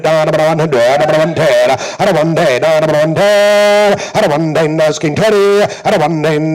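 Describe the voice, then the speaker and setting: A man's voice: on the high side, darkish tone, low-quality recording. A South African man working as a livestock auctioneer, with rhythmic, repetitive chant and showy, emphatic sales patter.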